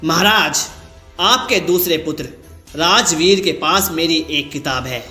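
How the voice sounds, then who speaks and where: Casting: calm cartoon holy sage male narrator acting, unhurried, knowing, with roomy echo. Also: a little high, faint music behind. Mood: calm, revealing. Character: wise ascetic holy man, calm and knowing.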